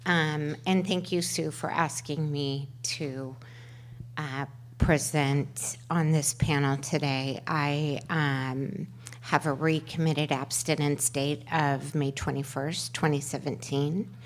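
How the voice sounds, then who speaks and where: Middle-aged woman, loud and low voice, slow halting speech, handheld mic in a meeting room. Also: fairly even intonation. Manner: halting, many fillers and restarts. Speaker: panel speaker at a twelve-step workshop. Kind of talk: personal sharing at a recovery meeting. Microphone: handheld microphone.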